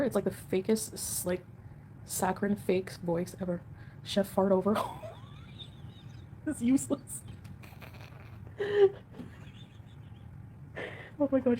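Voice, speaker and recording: Mellow-voiced woman rambling on a livestream. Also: medium pitch, a little hiss or hum. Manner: rambling and defensive. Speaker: livestream host. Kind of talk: casual livestream chat reading comments.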